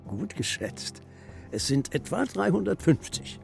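An actor playing a stubborn, gruff old herder grandfather with a curt, commanding, scornful manner in a children's radio drama. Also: fairly high pitch, big pitch swings, measured pace, music in the background, full character voice. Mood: gruff, proud. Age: older.

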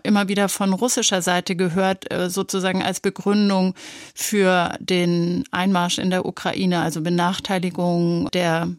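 A woman with a somewhat low voice leads a radio conversation. She asks reflective, tentative questions. The recording is dry and close. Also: no room sound. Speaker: radio host.

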